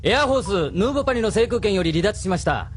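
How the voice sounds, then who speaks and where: An actor playing a dutiful young crew member in an audio drama. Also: male voice, high-pitched, expressive, quick pace, soft background music. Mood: crisp, dutiful. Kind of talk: Japanese audio drama acting.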